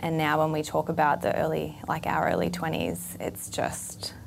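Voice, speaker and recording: A woman's voice: sits low, big pitch swings, normal speed, gravelly, whispered. A woman, chatting animatedly with an old friend and asking her questions, with many fillers. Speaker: interviewer. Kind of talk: casual conversation. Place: event venue.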